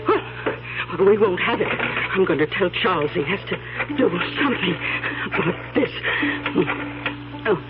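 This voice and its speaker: An older woman acting a radio drama as an anxious, indignant, confused old aunt who speaks in fretful, halting phrases. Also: mid-range voice, expressive, lightly acted. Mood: indignant, flustered. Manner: fretful, halting with repeated words. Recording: tinny narrowband old radio broadcast, music behind.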